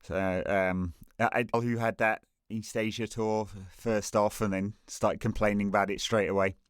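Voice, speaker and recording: A man's voice: on the low side, little pitch movement, normal speed, smooth, no room sound, clean studio sound. A man co-hosting a podcast, chatting casually about football and rambling with many fillers and restarts. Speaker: podcast co-host.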